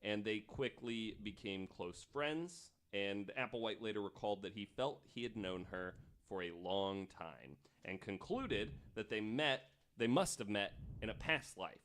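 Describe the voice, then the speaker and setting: A man's voice: on the low side, lively intonation, unhurried, hardly any pauses, a bit bright. A man hosting a podcast, telling stories from notes in an informal, casual way. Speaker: podcast host.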